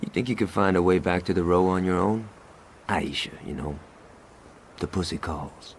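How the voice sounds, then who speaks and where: A man's voice: deep voice, normal speed, slight background noise. A man playing a hot-headed, foul-mouthed street gang lieutenant in a video game cutscene. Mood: casual, cocky. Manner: brash, profane.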